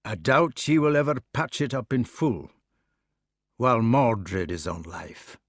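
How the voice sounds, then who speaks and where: A man voicing a gruff middle-aged knight with a Scottish accent, blunt and heavy. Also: mid-range voice, unhurried, no echo, studio quality. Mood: grim. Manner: blunt, heavy, shouting when angered.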